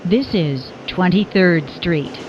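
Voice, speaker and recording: A woman's voice: fairly low pitch, expressive, slow pace, highs cut off, a little hiss or hum. A woman reading scripted subway announcements in formal, clipped phrases that sound pieced together.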